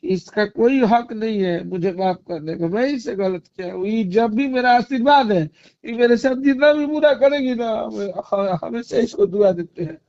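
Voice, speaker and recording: A high-voiced man with a Bhojpuri accent argues in a pleading, agitated way. His voice has a gravelly creak, and he keeps repeating himself. The phone-call recording is dull and lo-fi. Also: normal speed, darkish tone, no room sound. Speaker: caller in a personal phone argument. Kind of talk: emotional private argument.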